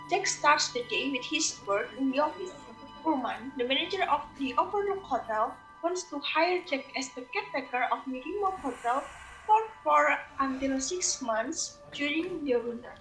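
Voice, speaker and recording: A woman's voice: high-pitched, a bit bright, low-quality recording. A woman reading scripted story narration as the narrator of a readers theater piece.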